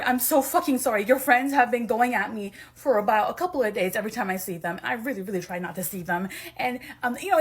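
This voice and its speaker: A woman playing a nervous, apologetic nerdy young man in an amateur audio drama, pleading and stammering, swearing as he goes, in a husky voice. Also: a little high.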